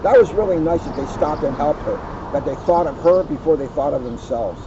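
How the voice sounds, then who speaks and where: Muffled audio with heavy background noise carries a fairly high-voiced man reading a picture book aloud. He is plain-spoken and encouraging, talking straight to children between passages. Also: brisk. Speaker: police officer reading a children's story. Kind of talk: storybook read-aloud with casual chat.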